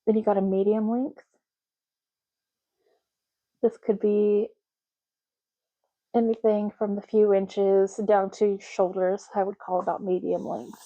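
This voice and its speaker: A woman doing a soft-spoken ASMR roleplay, talking slowly and casually and often repeating words in a deliberate way. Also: mid-range voice, little pitch movement, lo-fi sound.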